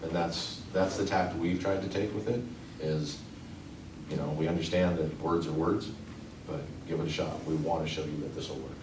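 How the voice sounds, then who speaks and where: A man with a very deep voice answers questions earnestly, often stopping to restart his sentences. He is heard from a distance in an echoey meeting room, over a steady background noise. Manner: earnest, halting, many restarts. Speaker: union representative.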